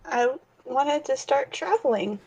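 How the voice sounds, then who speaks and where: A woman's voice: high voice, a little slow, darkish tone, husky, dry recording, heavily acted, poor audio. A woman voicing a curious young female stuffed animal traveler, asking blunt questions. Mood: pressing.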